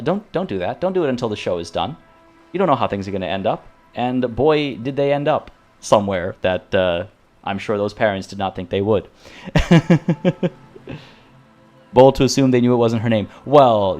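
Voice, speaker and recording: A man's voice: mid-range voice, a little mellow. A man live-streaming a game, chatting to his viewers in a rambling way full of restarts, and saying he is losing his voice. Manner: rambling and tangential, with many restarts. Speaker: streamer playing a video game.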